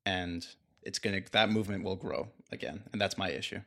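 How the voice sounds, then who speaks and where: A man's voice: deep voice, a little fast, creaky, a bit bright. A man co-hosting a casual faith podcast, searching for words and agreeing often. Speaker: podcast co-host. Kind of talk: casual discussion.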